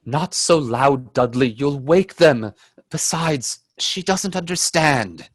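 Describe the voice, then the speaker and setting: A man's voice: a little high, animated, takes it slowly, dry recording, studio quality. A man playing a cautious, anxious Edwardian time traveller in a radio play, earnest and reflective. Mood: hushed, gentle. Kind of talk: radio play acting and narration.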